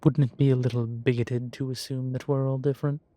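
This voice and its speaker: A man's voice: mid-range voice, level tone, no room sound, natural voice. A man playing a droll, proud, genteel demon professor who lectures a student in a scripted roleplay audio. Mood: amused, proud. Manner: unhurried, genteel, gently lecturing. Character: droll, proud, urbane male demon professor, centuries old. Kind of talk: scripted roleplay audio, one-sided conversation.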